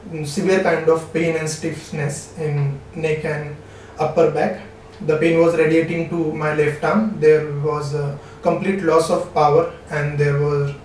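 A middle-aged man with an Indian accent giving a formal, measured testimonial as a doctor, recorded in an office on a distant camera microphone. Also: on the high side, a little mellow. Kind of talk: prepared spoken testimonial. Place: small office, seated behind a desk. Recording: very echoey, distant, faint background noise.

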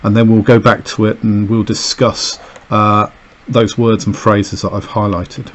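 A man's voice: fairly low pitch, level tone, a little slow, muffled recording. A man teaching a recorded lesson, explaining an extract with many fillers and "okay"s as he annotates it. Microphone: voice-over over a camera filming a page on a desk.

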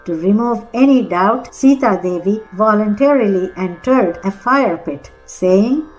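A woman's voice: mid-range voice, lively intonation, a little slow, poor audio. A woman reading scripted storytelling narration as the narrator of an audiobook. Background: faint Indian classical music.

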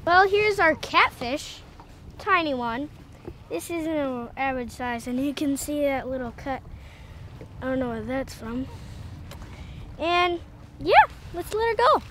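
A woman's voice: sits high, a little slow, long pauses. A woman casually talking about catfish while out on a boat. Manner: relaxed, chatty commentary while handling fish. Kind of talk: casual on-water commentary. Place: outdoors on a boat on a lake. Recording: noisy outdoor boat audio.

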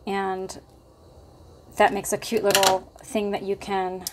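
Unhurried, slightly hoarse woman crafter. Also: medium pitch, background noise. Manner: relaxed, chatty, thinking aloud with many fillers. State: slightly hoarse, losing her voice. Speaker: craft tutorial host. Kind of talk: casual crafting tutorial. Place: indoors at a craft table.

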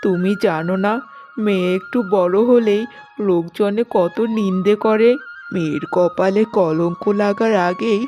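A woman's voice: medium pitch, a little slow, warm tone, natural voice. A woman voicing an earnest, worried middle-aged village mother in a cartoon story. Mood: defensive. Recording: music bed under the voice.

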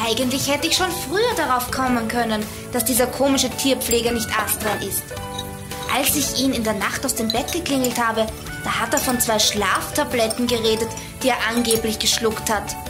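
A woman's voice: a little high, moderate pace, lightly acted. A woman playing a clever, eager girl detective in a children's audio drama. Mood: confident. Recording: roomy echo, music behind.

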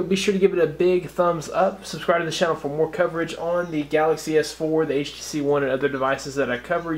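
Higher-pitched American male tech host. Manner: step-by-step, informal explaining. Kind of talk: casual instructional narration.

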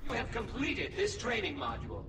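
Prim, animated game droid. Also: male voice, sits high, fairly quick, far from the mic, a loud crowd. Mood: encouraging, instructive. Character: eager, polite male training droid. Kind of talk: scripted game tutorial instruction. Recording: loud music over the voice.

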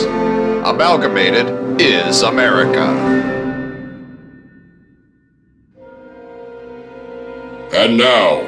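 An actor playing a grandiose, ominous announcer in the style of fifties radio. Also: male voice, mid-range voice, close to an everyday voice. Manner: grandiose, emphatic. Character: dramatic, ominous male old-time radio announcer. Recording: echoey, heavy music bed.

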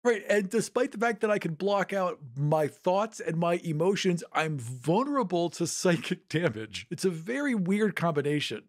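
A man's voice: high voice, big pitch swings, normal speed, hardly any pauses. A man in casual podcast chat, speaking emphatically and repeating phrases for effect. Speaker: podcast panelist.

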